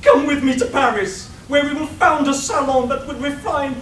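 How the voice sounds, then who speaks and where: A woman's voice: high voice, normal speed, lightly acted. A woman playing a pretentious, melodramatic artificial fashion aesthete in a comic radio play. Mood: gushing. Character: overwrought constructed fashion aesthete, pompous and despairing. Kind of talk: live radio play comedy acting. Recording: echoey room, distant, faint noise.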